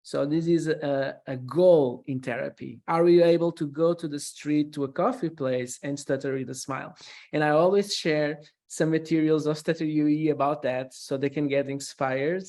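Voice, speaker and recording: A man's voice: a little high, steady tone, normal speed, a little mellow. Hesitant male webinar host. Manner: hesitant, many fillers and restarts. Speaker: host and speech therapist.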